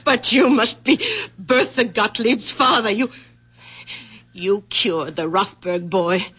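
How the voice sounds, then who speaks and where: A woman's voice: mid-range voice, takes it slowly, fairly bright tone, slightly rough. A woman acting in a radio drama as a frail, self-conscious older aunt, hesitant and breaking off her phrases. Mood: awed. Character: frail, self-conscious old village aunt, awed and insistent. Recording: dull, muffled old radio recording.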